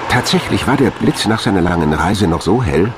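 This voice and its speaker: A man reading scripted documentary narration in a measured, dramatic way. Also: low voice, animated, background noise. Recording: voice over a music bed. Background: music.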